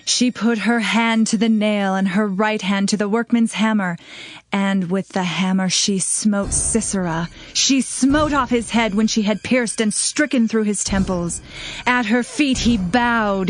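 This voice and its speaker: A medium-pitched female voice of an actress as a triumphant poetess, very slow and deliberate. She declaims ancient verse as audio scripture drama. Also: lightly acted. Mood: solemn triumph. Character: triumphant female singer-poet reciting a victory ode.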